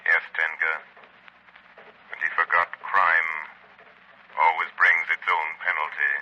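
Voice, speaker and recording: An actor playing a mysterious, commanding crime fighter in a solemn, measured 1930s radio mystery style. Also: male voice, low voice, level tone, pushed and bright, heavily acted. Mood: solemn, stern. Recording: dull, faintly noisy 1930s radio recording.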